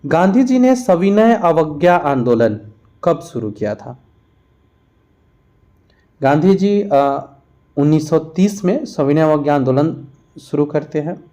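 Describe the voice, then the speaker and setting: Higher-pitched, mellow-voiced male teacher. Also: lively intonation, slow, long pauses. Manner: explanatory, reads each question aloud then answers it. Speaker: teacher for competitive exam preparation. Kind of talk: question-and-answer revision lesson.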